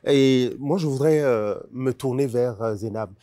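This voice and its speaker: A man's voice: mid-range voice, measured pace, dark tone, no echo. A man on a talk show panel, asking the guest questions in formal, elaborate phrasing.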